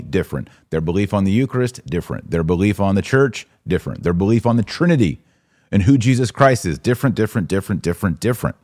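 A man's voice: lowish voice, a little mellow, no room sound, clean studio sound. An American man hosting a live podcast, commenting and answering viewers in an emphatic way, repeating phrases for stress. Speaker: podcast host.

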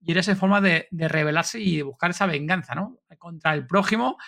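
A man with a somewhat raised pitch and a gravelly, crackly edge to his voice. As a podcast host, he rephrases what his guest has said and keeps checking in with tag questions. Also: a little fast, fairly bright tone. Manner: summarizes with frequent tag questions. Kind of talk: podcast conversation.

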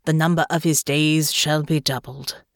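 A woman voicing a grave, stately male bishop who intones slowly and ceremonially in an audiobook. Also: low voice, level tone, no echo, studio quality. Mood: solemn, reading scripture. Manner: slow, intoning, ceremonial delivery. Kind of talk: liturgical recitation in an audiobook.

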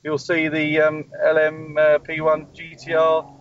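A man's voice: on the high side, monotone, a little slow, highs cut off. A man speaking as a motorsport team spokesperson in a live call-in interview, rambling with many ums and restarts, calling in from a race track. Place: at a race track testing session.

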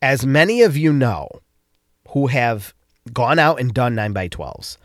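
A man's voice: medium pitch, very animated, unhurried, a bit bright, no echo, studio quality. A man with a stuffed-up nose from a cold, hosting a casual solo podcast and rambling with frequent restarts. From upstate New York. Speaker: podcast host.

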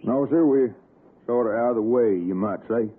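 A man's voice: mid-range voice, moderate pace, breathy edge, natural voice. An actor playing a stern, sinister hillbilly father with an Appalachian accent and a laconic, folksy menace in 1960s radio suspense. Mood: folksy coaxing. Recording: tinny, noisy old radio broadcast.

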